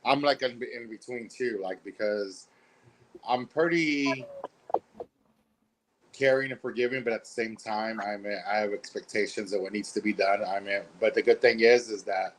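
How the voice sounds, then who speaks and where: A man on a video call as a panel guest, soft-spoken, earnest and rambling. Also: on the low side, moderate pace, rough recording. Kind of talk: casual chat.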